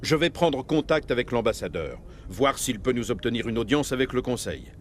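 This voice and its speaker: A commanding middle-aged starship captain, played by a male actor in a video game. He is grave and measured and speaks with military authority. A faint rumble sits behind the voice. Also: lively intonation, close to an everyday voice. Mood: urgent, grave. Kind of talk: dramatic video game dialogue.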